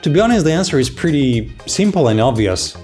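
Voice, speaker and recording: A man's voice: a little high, very animated, fairly quick, darkish tone, faint music behind. Playful young man vlogging. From Belarus. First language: Belarusian. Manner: playful, mock-astonished outbursts. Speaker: video creator. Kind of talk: casual vlog talk to camera.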